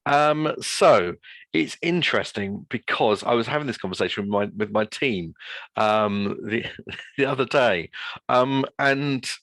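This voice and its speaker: A man's voice: mid-range voice, fairly bright tone, dry recording. A man giving an informal webinar presentation as a guest speaker, talking in a discursive way and often repeating his phrases.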